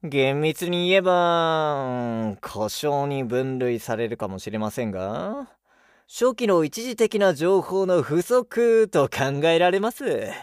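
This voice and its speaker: A man's voice: on the high side, big pitch swings, takes it slowly, clear, resonant tone, no echo, clean studio sound. An actor playing a polite, analytical male technician in an audio drama, explaining patiently in formal speech. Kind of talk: Japanese audio drama acting.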